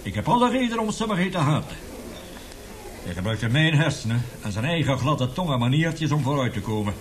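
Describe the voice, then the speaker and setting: A man's voice: mid-range voice, big pitch swings, fairly quick, a little hiss or hum, natural voice. An actor in a radio drama playing an embittered, passionate male professor who lectures emphatically and indignantly. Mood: indignant, bitter.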